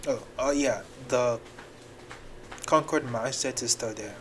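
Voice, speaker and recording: A man's voice: medium pitch, slow, a little hiss or hum. A man hosting a reaction video, talking back at clips in a rambling, exasperated way. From Nigeria. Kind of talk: casual reaction commentary.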